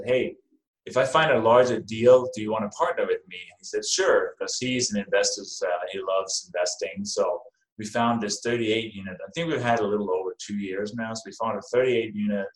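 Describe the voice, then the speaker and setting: In a clearly echoey room, a middle-aged man speaks with a Danish accent. His voice sits on the low side, and he talks casually, like a guest being interviewed. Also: a little fast. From Denmark. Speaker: podcast guest, real estate investor. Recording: roomy, echoing sound.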